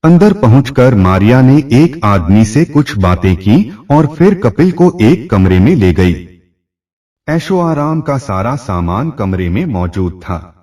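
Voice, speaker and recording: A man's voice: medium pitch, sing-song, slow pace, mellow, distant mic. A man narrating a scripted story as a storyteller.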